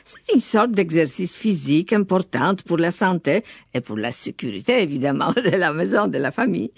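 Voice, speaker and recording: Older woman, lowish voice, measured delivery, dry sound. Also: very animated, creaky, slightly rough, no echo. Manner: measured, careful, occasional hesitations. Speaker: radio studio guest. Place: studio.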